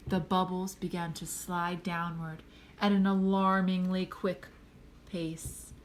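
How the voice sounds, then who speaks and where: A woman reading a children's storybook aloud expressively, pausing to speak to a young listener. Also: lowish voice, little pitch movement, a little hiss or hum. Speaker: storybook reader.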